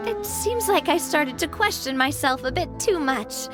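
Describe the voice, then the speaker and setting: Young female gang president, high voice, sincere, self-conscious, soft game music behind. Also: expressive, normal speed. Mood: touched. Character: young woman, warm-hearted gang president. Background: game soundtrack music.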